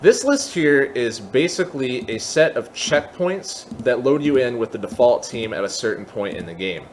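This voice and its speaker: A man hosting a casual software tutorial, explaining things step by step in an informal way. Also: mid-range voice, sing-song, moderate pace, slight background noise. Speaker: tutorial host.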